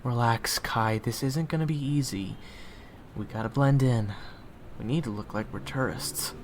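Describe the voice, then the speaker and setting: A man's voice: mid-range voice, a little slow, slight background noise. A young man performing an audio roleplay in a casual, wry manner.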